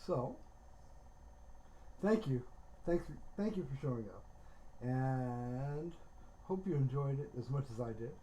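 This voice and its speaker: A man talking casually and haltingly to viewers on a livestream, in an understated way. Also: medium pitch, lively intonation, warm tone, a little hiss or hum.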